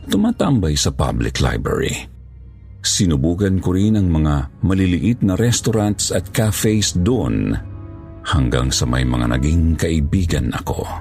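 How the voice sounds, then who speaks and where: Male horror narrator, low and gravelly, reading scripted first-person tales. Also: darkish tone. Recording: music bed under voice. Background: background music.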